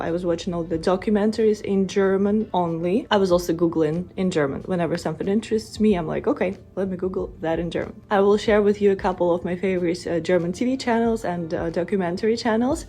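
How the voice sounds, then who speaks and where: A woman's voice: medium pitch, dark tone. A woman with a Russian accent, a native Russian speaker, talking to camera in earnest, encouraging tones as a language-learning vlogger. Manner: earnest, encouraging, direct address to viewers. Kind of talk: motivational talk to camera.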